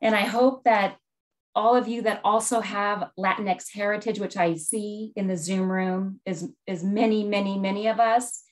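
A woman college president sharing heartfelt, reflective remarks slowly over a video call. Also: medium pitch, steady tone. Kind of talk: personal heritage remarks.

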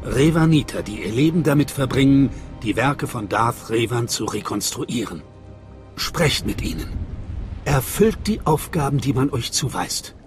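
A man playing a solemn cult guide in a video game, speaking in a measured, reverent, mystical way. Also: medium pitch, expressive, faint music behind, lightly acted. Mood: mystical, instructive. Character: solemn male cult guide welcoming initiates. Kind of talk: dramatic video game voice acting in German.

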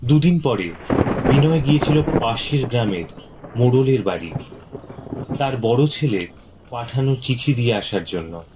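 Male storyteller, very slow, suspenseful, faint noise behind. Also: mid-range voice, expressive. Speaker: narrator. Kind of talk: scripted storytelling narration.

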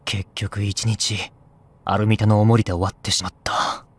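A man's voice: lowish voice, little pitch movement, normal speed, breathy edge. A man playing an exasperated, easily flustered young male teacher in an anime audio drama. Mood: weary, flustered.

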